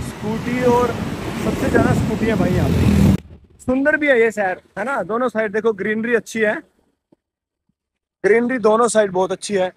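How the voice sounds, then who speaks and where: A man chatting casually to camera as a fitness vlogger, on a handheld phone. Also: high voice. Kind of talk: casual vlog chat to camera, Hindi mixed with English. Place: outdoors on busy streets, later inside a gym. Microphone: phone held at arm's length. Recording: noisy street and gym ambience, traffic.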